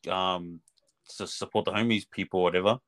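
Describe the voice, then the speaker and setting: A man's voice: low-pitched, fairly even intonation, brisk, a bit bright. A man from Australia with a lightly New Zealand-tinged accent, chatting casually as a podcast guest in a laid-back, slangy way. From Australia (Melbourne). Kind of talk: casual chat.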